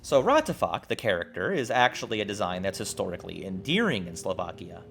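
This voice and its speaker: A man's voice: sits low, big pitch swings, a little fast, creaky, a bit bright. A man narrating a video essay as host in a wry, exclamatory, casual style with some swearing. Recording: music behind the voice. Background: background music.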